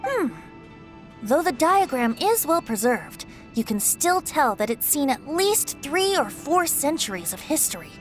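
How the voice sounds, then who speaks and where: A woman playing a thoughtful, expressive young woman in a video game. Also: high-pitched, measured pace, faint music behind. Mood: pondering. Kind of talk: video game story dialogue.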